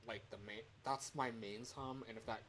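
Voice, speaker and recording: A man talks casually to a livestream at an ordinary mid pitch. He rambles along and pokes fun at himself. A faint, steady fan hum sits under his voice. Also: moderate pace. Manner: rambling, self-deprecating. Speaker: livestreamer recording game voice lines.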